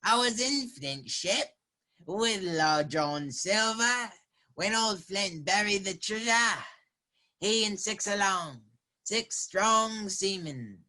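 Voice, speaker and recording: A woman's voice: medium pitch, lively intonation, slow pace, sharp tone. A woman playing a half-wild marooned castaway sailor in a radio play, eager and rambling, with rustic sailor dialect. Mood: wary, anxious. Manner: rambling, repeats words, exclaiming. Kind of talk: children's theater radio play acting.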